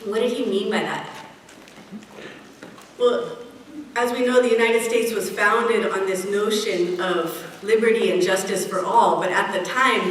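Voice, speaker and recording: A middle-aged woman giving a prepared speech at a community event, measured and earnest, slowing down to stress key phrases. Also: mid-range voice. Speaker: event speaker. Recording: echoey hall, picked up from a distance, faint hiss.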